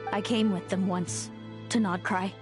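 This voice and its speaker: An actress playing a bitter, tormented fae older sister in a video game, speaking in a dramatic, wounded, confessional way. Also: female voice, mid-range voice, fairly even intonation, unhurried, lightly acted. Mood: bitter, resentful. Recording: music under the voice. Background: background music.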